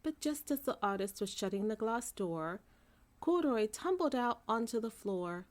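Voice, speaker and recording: A woman narrating a bedtime story for children in an expressive storytelling manner. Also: on the high side, moderate pace. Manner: expressive storytelling, character voices for dialogue.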